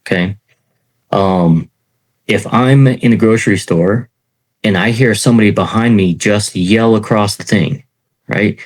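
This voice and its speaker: A middle-aged man gives a loose, unscripted sales lesson in a fairly low voice. He talks in an even, self-described monotone, stopping often to say "okay" and "right?" to his listeners. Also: unhurried. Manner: self-described monotone, many fillers and "okay" check-ins. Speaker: sales trainer. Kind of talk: informal teaching talk.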